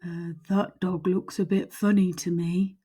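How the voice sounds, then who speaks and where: A big male skeleton from a children's picture book, put on by a woman. He is good-natured and a bit dim, drawing out his words slowly as if puzzling things over. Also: on the low side, flat delivery, mellow, breathy edge. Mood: puzzled. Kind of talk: storybook read-aloud.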